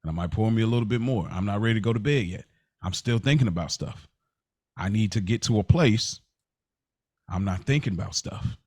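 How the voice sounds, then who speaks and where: A man's voice: on the low side, brisk, a little mellow, dry recording, clean studio sound. A man co-hosting a podcast, talking candidly and reflectively in a casual, slangy way. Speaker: podcast co-host.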